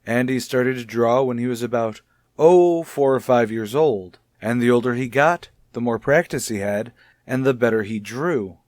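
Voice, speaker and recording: An actor playing a gentle, probing child psychologist in a radio soap, speaking in a measured, soothing, tactful way. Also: male voice, mid-range voice, no echo, clean studio sound, close to an everyday voice. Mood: kindly probing. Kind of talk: old radio soap opera acting.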